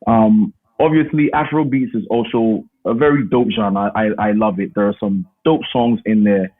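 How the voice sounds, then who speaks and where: A man's voice: lowish voice, fairly even intonation, moderate pace. A man, talking casually and emphatically as an interview guest on a call. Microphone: remote call. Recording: thin phone-like call audio.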